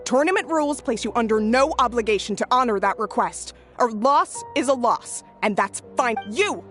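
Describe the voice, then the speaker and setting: A strict female official in a video game. Her voice is fairly high and swings expressively while staying formal and firm. Soft music sits underneath. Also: expressive, normal speed.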